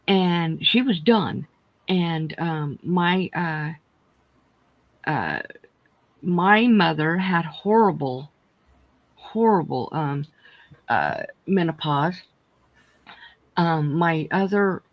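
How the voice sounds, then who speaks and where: A woman's voice: on the low side, no room sound, highs cut off. A woman in her sixties chatting casually on a livestream and telling long family stories. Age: 60s. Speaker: livestream co-host. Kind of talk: casual chat.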